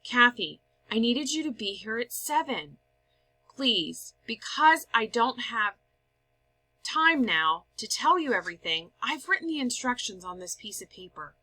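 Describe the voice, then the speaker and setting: A woman voicing a brisk, displeased mother in a storybook, giving firm, emphatic instructions to her babysitter. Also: on the high side, very animated, sharp tone, full character voice, low-quality recording. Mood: stern, hurried. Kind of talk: storybook read-aloud.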